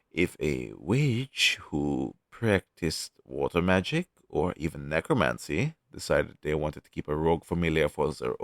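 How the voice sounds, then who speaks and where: Male actor for a demon crow familiar, fantasy roleplay audio: helpful explainer, animated intonation, dry close sound. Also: deep voice, no room sound, exaggerated voice. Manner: conversational, explanatory, reassuring, with thoughtful hums. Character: friendly male demon crow familiar advising a young witch.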